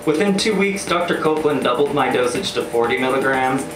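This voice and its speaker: A man's voice: mid-range voice, animated, brisk. Sardonic male spoken-word performer, roomy live sound. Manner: wry, sardonic, theatrical. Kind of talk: scripted first-person spoken-word storytelling, live. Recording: roomy live venue, distant mic, music. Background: electronic music beats.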